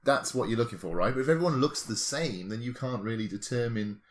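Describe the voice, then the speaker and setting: A man's voice: mid-range voice, a little fast. Wry man in casual banter. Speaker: podcast co-host.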